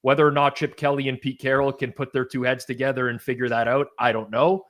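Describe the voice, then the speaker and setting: A man's voice: mid-range voice, little pitch movement, quick pace, fairly bright tone. A man hosting a sports betting discussion, talking casually with restarts and dry asides. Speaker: betting show host.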